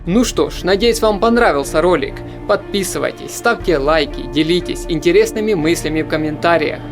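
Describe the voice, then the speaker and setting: Steady voice-over for a film countdown. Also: mid-range voice, fairly quick, music in the background. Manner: steady, informative voice-over delivery. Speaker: film channel narrator. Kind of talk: scripted narration of a film countdown.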